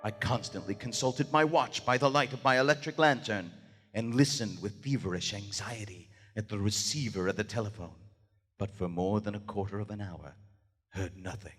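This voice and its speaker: A man playing a frantic, loyal friend breaking down in terror, hoarse and stammering, in a live old-time radio horror play in a hall. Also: fairly low pitch, normal speed, a bit bright, faint music behind. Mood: anxious dread. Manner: anguished, stammering, repeating himself. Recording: reverberant theater hall.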